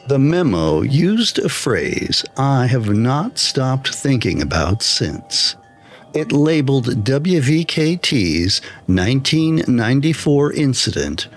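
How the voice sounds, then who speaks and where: A man narrating a scripted first-person horror story in a measured, deliberate, solemn manner. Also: mid-range voice. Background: background music.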